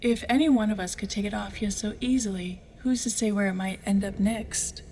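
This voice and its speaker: A woman's voice: mid-range voice, fairly even intonation, a little fast, a little mellow, a little hiss or hum, lightly acted. An actress playing a sly, teasing female bounty hunter in an audio drama, breezy and slangy. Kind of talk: dramatic audio fiction acting.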